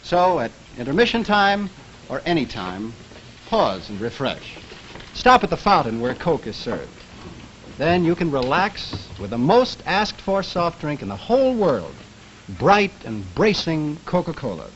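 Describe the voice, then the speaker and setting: Lively vintage ad man, dull old recording. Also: on the high side, a little slow, a little hiss or hum. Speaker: commercial announcer. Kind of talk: 1950s advertising pitch, folksy. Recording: dull vintage commercial soundtrack. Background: music.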